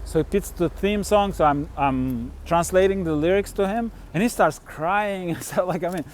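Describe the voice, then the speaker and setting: A man talks in a loose, casual way, filling his sentences with little fillers as he pauses to find words. His voice sits a little on the high side. A faint hum of noise runs underneath. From Turkey. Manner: halting, full of "you know" and "like" fillers. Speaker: touring musician and vlogger. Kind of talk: casual chat.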